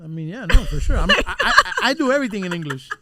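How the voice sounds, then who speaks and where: A man's voice: high voice, huge pitch swings, vocal fry, heavy background noise. A man hosting a podcast, casually chatting and agreeing a lot. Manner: quick, agreeable, lots of "yeah" and "like". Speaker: podcast host. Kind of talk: casual chat.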